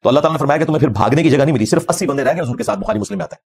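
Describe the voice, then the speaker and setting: Punjabi accented pakistani guy, fast husky high voice, blunt taunting religious lecture. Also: animated, a little mellow. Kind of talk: combative informal religious lecture. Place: indoor lecture set.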